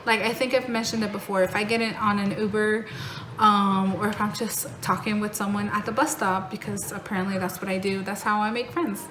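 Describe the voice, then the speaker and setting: A woman's voice: medium pitch, steady tone, brisk. Chatty young American woman, echoey room. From born in Mexico, raised in North Carolina. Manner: chatty, rambling, lots of "like" and "um". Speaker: vlogger. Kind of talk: casual talking-head vlog. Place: home in Mexico. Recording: echoey room with noticeable background noise.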